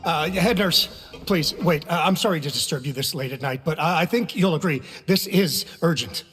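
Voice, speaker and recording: A man's voice: on the high side, normal speed, a bit bright, breathy edge, soft background music. An actor playing a deferential, anxious working man in a video game, hesitant, polite and apologetic. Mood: urgent, apologetic. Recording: long hall-like reverb.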